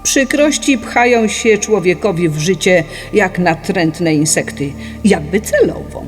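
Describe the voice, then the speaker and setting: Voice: medium pitch, lively intonation, a little slow, natural voice. A narrator reading a scripted philosophical audiobook in an explanatory, didactic manner. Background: music.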